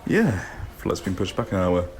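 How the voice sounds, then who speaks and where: A man acting a calm, everyday airline passenger who takes charge in an audio drama. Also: low voice, normal speed, a little hiss or hum. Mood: fond, weary.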